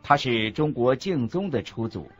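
A man playing a Buddhist monk teacher in a scripted radio lecture, husky, expressive and didactic. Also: mid-range voice, normal speed, lightly acted. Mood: earnest recounting. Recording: dull lo-fi recording with faint music.

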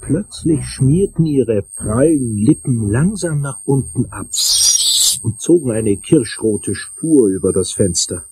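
Noir detective narrating a crime radio play, slow n theatrical, dark husky voice. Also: male voice, medium pitch, animated, exaggerated voice, low-quality recording. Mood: suspenseful. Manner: deliberate, theatrical. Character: hard-boiled male detective telling his case. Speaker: narrator and lead actor. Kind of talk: noir first-person crime drama narration.

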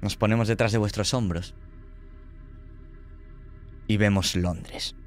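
A man with a Spanish accent narrating a tabletop horror game as game master, slow, descriptive and dramatic. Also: lowish voice, steady tone, faint music behind.

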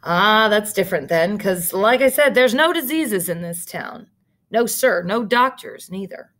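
A woman's voice: mid-range voice, animated, measured pace, a bit bright. A woman voicing a nosy, folksy small-town hotel clerk from a storybook, wary of strangers and emphatic. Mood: insistent. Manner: folksy, emphatic, repetitive phrasing.

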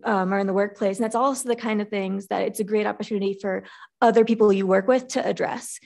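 Gravelly-voiced woman engineer speaking candidly. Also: mid-range voice, darkish tone. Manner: earnest, frequent fillers, light laughs. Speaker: engineer panelist. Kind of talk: candid panel talk.

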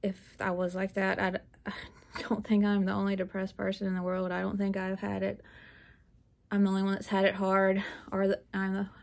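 A woman's voice: medium pitch, monotone, brisk, creaky. A woman vlogging from her living room floor, talking candidly and bluntly in a rambling way. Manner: blunt, rambling, laughs nervously. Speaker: book vlogger. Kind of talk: candid personal talk.

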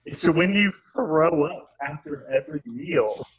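A man playing an exasperated, self-conscious, earnest woman journalist with halting delivery in a comedy table read. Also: fairly high pitch, expressive, a little hiss or hum. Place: at home on a video call. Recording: muffled video call audio.

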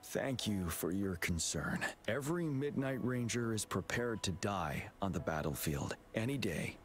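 An actor playing a stoic young male general, speaking in a solemn, measured and earnest way. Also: lowish voice, expressive. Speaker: game character.

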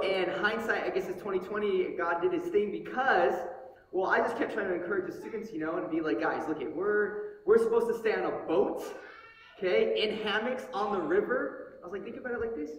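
A young man preaching from a church stage on a headset mic, telling a story in an animated, joking way. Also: sits high, muffled recording, a little hiss or hum. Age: young adult. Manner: animated, joking, gestures broadly while talking. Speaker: pastor. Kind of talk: storytelling sermon. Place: church sanctuary stage with pulpit. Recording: echoey hall, mic heard from far off.

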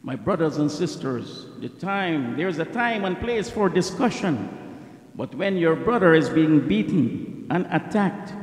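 High-voiced preacher in an echoey hall. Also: male voice, animated. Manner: impassioned, repeats lines for emphasis, rapid Arabic recitation. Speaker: preacher giving a Friday sermon. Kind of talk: sermon with supplications. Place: mosque prayer hall. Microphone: stand mic at a lectern.

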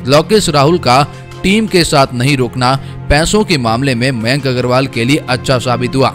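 A young man reading a scripted cricket news voice-over in a brisk, emphatic way, with asides to viewers. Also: medium pitch, fairly bright tone. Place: voice-over, not in pictured place. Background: faint background music.

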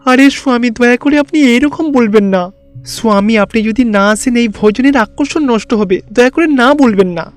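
Man voicing vain wealthy cartoon god, pompous, theatrical, high voice, music underneath. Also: normal speed. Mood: fawning, pleading. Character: boastful, vain rich god of wealth, fawning before superiors. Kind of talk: Bengali animated fairy-tale voice acting.

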